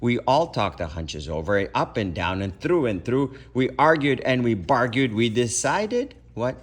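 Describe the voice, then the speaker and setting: A man's voice: mid-range voice, big pitch swings, a little slow, husky. A man reading a children's storybook aloud expressively, as a parent would for kids.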